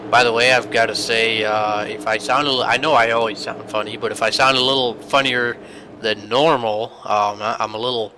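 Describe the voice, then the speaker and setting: A man's voice: medium pitch, steady tone, normal speed, background noise. A young man commentating a sim race, congested from a sinus infection, chatty and rambling with many fillers. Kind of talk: sim racing broadcast commentary.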